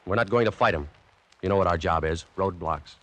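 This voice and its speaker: Low, fast, hard-boiled American army lieutenant played by a man. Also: muffled recording, crowd noise, lightly acted. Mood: brisk, commanding. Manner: clipped, commanding, hard-boiled. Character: tough, weary American army lieutenant leading guerrillas. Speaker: lead actor and first-person narrator. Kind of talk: 1940s radio drama acting and narration. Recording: noisy vintage radio recording.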